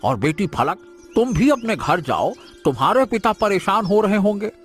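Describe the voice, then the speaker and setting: A man's voice: high-pitched, big pitch swings, normal speed, fairly bright tone, slightly rough, faint music behind, heavily acted. An actor playing a fussy middle-aged village father in a cartoon, scolding in a wheedling, mock-polite way. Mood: bossy coaxing.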